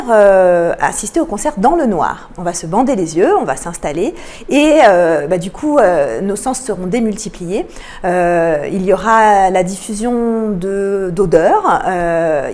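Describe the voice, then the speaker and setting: A woman giving explanatory answers as a radio interview guest, often pausing between phrases. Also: medium pitch, a little mellow. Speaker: interview guest, cultural programme manager.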